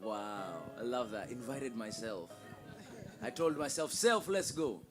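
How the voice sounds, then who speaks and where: A man's voice: mid-range voice, lively intonation, unhurried, over music. A man hosting a church service, giving welcomes and announcements in an exhortatory way, repeating words and calling for responses. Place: church with congregation.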